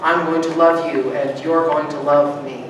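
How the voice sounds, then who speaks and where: A man preaching a sermon as pastor, moving between loud rousing calls and soft hushed reflection, joking easily with his congregation. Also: fairly high pitch, fairly even intonation, normal speed. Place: church sanctuary. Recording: distant mic, strong room echo, background noise.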